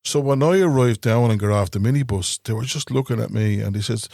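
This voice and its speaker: Animated North Side Dublin man. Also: on the low side, fairly quick, creaky, dry recording, clean studio sound. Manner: animated storyteller, laughs often and slips into impressions. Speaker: podcast host. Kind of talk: casual chat.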